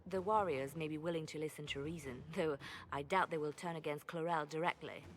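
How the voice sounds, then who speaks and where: A woman's voice: low-pitched, fairly even intonation, brisk, a little hiss or hum, natural voice. An actress voicing a cool female spymaster who speaks in a matter-of-fact way.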